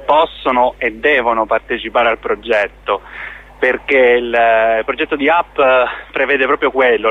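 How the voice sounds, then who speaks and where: A man explaining things in an unscripted radio interview as a theatre company member, often hesitating and searching for words. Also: mid-range voice. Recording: dull, lo-fi radio sound.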